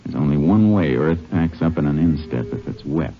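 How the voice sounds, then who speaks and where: An American actor playing a steady, methodical Texas Ranger in a 1950s western radio drama, speaking in a laconic, plainspoken way. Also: male voice, extremely low pitch, normal speed. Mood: focused, intent. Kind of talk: 1950s radio drama acting with first-person narration. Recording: muffled vintage radio recording with music.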